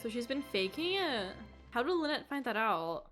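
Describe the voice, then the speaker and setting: A woman's voice: a little high, very animated, normal speed, a bit bright, faint music behind. A woman giving casual, reactive live commentary as she streams a game.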